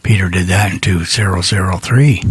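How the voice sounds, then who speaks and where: Husky low-voiced man hosting radio. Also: moderate pace, no echo. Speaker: radio show host. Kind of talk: casual music radio hosting. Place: small radio studio.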